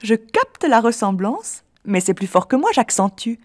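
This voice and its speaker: A mischievous, boastful caricature come to life as a storyteller, voiced by a woman. The voice is fairly high and swoops lively up and down. It sits dry and close, with no room sound around it. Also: moderate pace, no echo. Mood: playful. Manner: mischievous, teasing, laughs at her own exaggerations. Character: personified caricature, playful boastful female art form. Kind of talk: scripted documentary narration in character.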